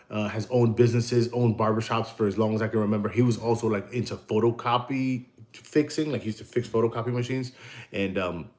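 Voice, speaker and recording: Rambling American man on a livestream. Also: mid-range voice. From United States. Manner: rambling, digressive storyteller. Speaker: livestream host; tattoo artist. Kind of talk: casual livestream chat.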